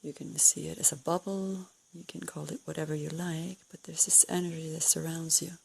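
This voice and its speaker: A woman leading a guided meditation, giving calm, unscripted instructions in a soothing, breathy way with gentle pauses. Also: deep voice, slight background noise, poor audio. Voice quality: breathy, husky, creaky.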